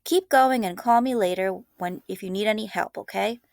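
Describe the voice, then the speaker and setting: A woman voicing a helpful, chatty girl cousin in a storybook, animated and reassuring. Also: mid-range voice, normal speed, gravelly, no echo, clean studio sound, natural voice. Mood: friendly, animated.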